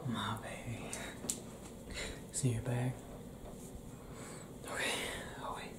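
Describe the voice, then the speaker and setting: A young man performing an intimate ASMR roleplay as a restless, tender werewolf, speaking in a hushed, halting, often whispered way. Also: medium pitch, flat delivery. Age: young adult. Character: young male werewolf confiding in his partner, restless, tender. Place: small indoor room. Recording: roomy echo, clear background noise.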